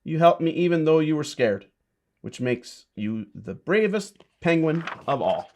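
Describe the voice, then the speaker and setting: A man's voice: a little high, big pitch swings, measured pace, a little mellow, a little hiss or hum. A man voicing an adventurous, kindly young male penguin from a children's storybook. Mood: grateful.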